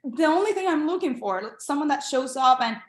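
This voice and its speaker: A woman's voice: sits high, animated, fairly quick. Young woman from Venezuela, native Spanish speaker, earnest and encouraging, echoey room on a video call. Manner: earnest, encouraging, with frequent restarts. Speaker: guest, international medical graduate. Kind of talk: casual interview.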